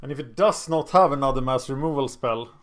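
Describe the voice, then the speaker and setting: A man with a Swedish accent talks through his card game as he plays, thinking aloud in a chatty way. His voice sits at an ordinary middle pitch. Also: a little fast.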